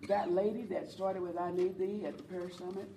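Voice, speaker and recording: A woman with a low voice leading a church teaching on worship, talking earnestly and encouraging her listeners to join in. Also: steady tone, normal speed, noisy background. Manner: earnest, encouraging, speaks to the audience and invites replies. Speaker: worship leader teaching a group. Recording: roomy sound from a distant mic, faint ambience.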